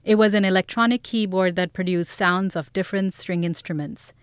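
A woman's voice: lowish voice, steady tone, fairly quick, smooth. A woman reading scripted narration for an audio documentary as its host.

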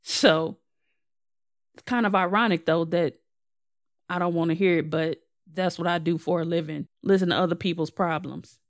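A slightly hoarse young woman talks through a personal update on her solo podcast. Her voice sits a little low and comes across smooth and clear. The sound is close and dry, with no room around it. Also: fairly even intonation, normal speed, no room sound. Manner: chatty, candid, self-deprecating. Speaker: podcast host.

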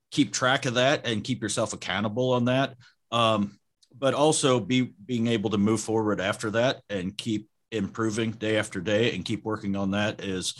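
A man's voice: fairly low pitch, fairly bright tone. A man speaking as a guest on a casual podcast, friendly and hesitant, with many ums and uhs. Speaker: podcast guest, car audio shop owner.

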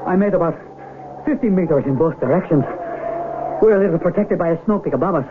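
A man's voice: a little high, quick pace, background noise, natural voice. Man acting an earnest mountain guide, tinny sound. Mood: steady, practical. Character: earnest, idealistic South American mountain guide. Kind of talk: 1970s radio drama acting. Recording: tinny, band-limited radio recording.

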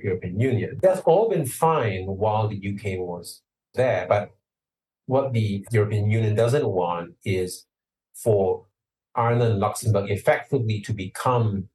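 A man from Singapore talks through his points at length, steady and explanatory, with sudden emphatic lifts. His voice sits on the low side. He sounds somewhat distant from the microphone. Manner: measured, explanatory, emphatic at times. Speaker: lawyer, interview guest. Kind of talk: interview answers.

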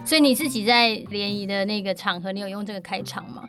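A woman's voice: mid-range voice, fairly bright tone, faint music behind. A woman hosting a casual interview chat, playful and chatty, chiming in often. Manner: playful, chatty, quick to laugh and chime in. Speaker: podcast host.

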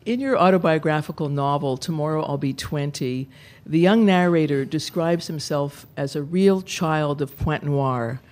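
A woman radio host reading a measured, precise introduction and then interviewing a guest. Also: deep voice, a little mellow, slight background noise.

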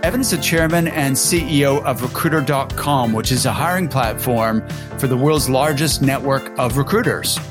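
A man from Canada with a mixed Canadian and British accent, hosting a podcast interview and reading a friendly scripted introduction. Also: medium pitch. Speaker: podcast host.

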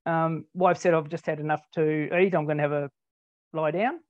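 A man's voice: on the high side, fairly even intonation, moderate pace, no room sound. Rambling older Australian man, dry lo-fi sound. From Tasmania, Australia. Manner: rambling, self-deprecating, many ums. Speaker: interview guest. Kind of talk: casual conversational storytelling.

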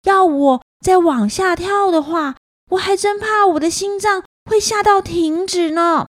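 A woman's voice: very high voice, a little slow, dry recording, clean studio sound, pitched above the performer's own voice. A woman voicing a timid, polite little lost girl in an earnest, wondering manner for a children's story. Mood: anxious.

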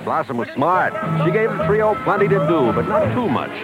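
Wry high-pitched American showbiz man. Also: very animated, moderate pace, highs cut off. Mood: knowing. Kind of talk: 1950s radio drama acting. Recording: old radio broadcast with music.

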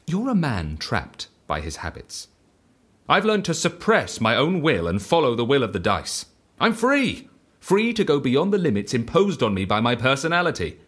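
A man playing an eccentric, self-assured devotee of chance in an audio drama, asking clipped, probing questions and speaking with calm conviction. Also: medium pitch, normal speed, slightly rough, exaggerated voice. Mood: zealous.

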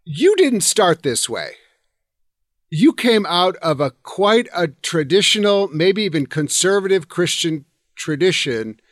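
A man hosting a radio interview, talking enthusiastically and haltingly, with frequent restarts. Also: high-pitched, big pitch swings, fairly bright tone, no echo, studio quality. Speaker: radio show host. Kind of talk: interview conversation.

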